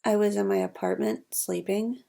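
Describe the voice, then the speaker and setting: Creaky-voiced, genteel lounge singer, played by a woman. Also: medium pitch, steady tone, normal speed, warm tone. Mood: politely evasive. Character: genteel, theatrical lounge singer in her thirties, evasive. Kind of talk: noir audio drama acting.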